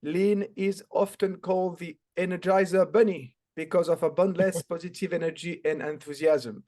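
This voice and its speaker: A man from France hosting a business podcast, reading a scripted intro and then asking relaxed interview questions. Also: on the high side. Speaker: podcast host.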